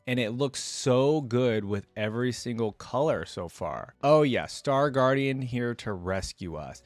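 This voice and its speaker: Young man, lively casual reaction commentary. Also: medium pitch, no room sound. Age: young adult. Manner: chatty, casual, slangy. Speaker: reaction video host. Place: indoor streaming setup. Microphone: close desk microphone with headset on.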